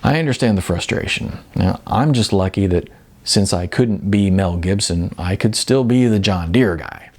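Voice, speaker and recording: A man's voice: deep voice, normal speed, a little mellow. A man voicing a good-natured, self-deprecating model in his forties, hesitant and full of ums, in an audiobook. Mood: easygoing. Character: modest, good-natured balding 40-something male commercial model. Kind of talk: audiobook character dialogue.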